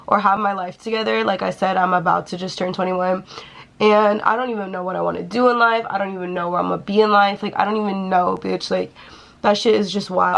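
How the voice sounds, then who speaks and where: A woman's voice: mid-range voice, gravelly, fairly bright tone. A young woman chatting casually and swearing freely while she does her makeup in a small room. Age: young adult. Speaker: beauty vlogger.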